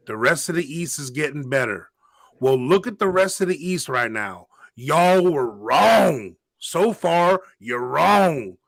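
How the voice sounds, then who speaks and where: A man in his forties argues his case in a casual sports chat, circling back and repeating himself to press the point. His voice sits a little high for a man. The sound is close and dry, with no room around it. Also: lively intonation, takes it slowly, creaky, a bit bright, no room sound. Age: 40s. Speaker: podcast co-host.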